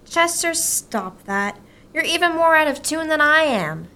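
A woman's voice: high voice, animated, a little slow, background noise. A woman playing a harried, wry mother in a radio play, with an exasperated, folksy way of talking.

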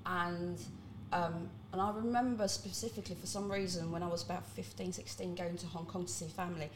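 A young woman who grew up in the Netherlands, a podcast guest telling her stories in a relaxed, candid way. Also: fairly low pitch, brisk, a little hiss or hum, poor audio. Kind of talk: casual interview chat.

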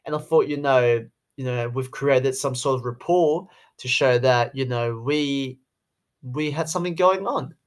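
A man's voice: mid-range voice, a little slow. A man in his twenties with an Australian accent, talking through a casual podcast monologue in a rambling way, full of "you know" fillers. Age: 20s. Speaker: podcast host, sales rep.

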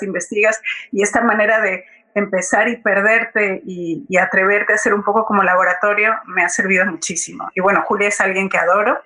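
A woman's voice: medium pitch, sharp tone, low-quality recording. A woman from Colombia, a playwright speaking as a guest in a conversational, reflective account. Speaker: guest playwright and theatre director. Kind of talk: conversational account in a public forum.

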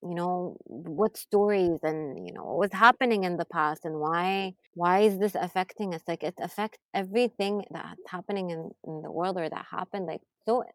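A woman from Saudi Arabia in a casual podcast conversation, reflective and soft-spoken, often drifting quieter. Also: on the low side, moderate pace, hardly any pauses, no room sound. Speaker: podcast guest.

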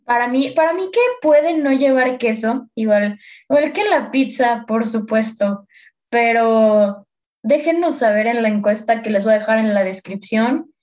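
A woman's voice: a little high, unhurried, a little mellow. A young woman hosting a podcast, talking in a laid-back, friendly, rambling way. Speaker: podcast host. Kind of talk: casual chat. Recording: slightly dull lo-fi podcast audio.

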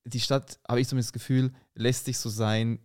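A man from Munich with a Munich accent and a rolled R, a podcast guest who talks in a relaxed, thoughtful way, hedging a lot. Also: mid-range voice, a little fast, clean tone, no echo, clean studio sound. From Munich, Germany. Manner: relaxed, thoughtful, many hedges. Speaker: podcast guest, stand-up comedian. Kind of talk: casual podcast chat.

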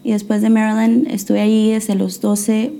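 A woman's voice: mid-range voice, flat delivery, normal speed, creaky, mellow. A young woman from the United States, a guest on an interview show, telling her faith story in a hesitant way with many fillers and restarts. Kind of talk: testimony interview.

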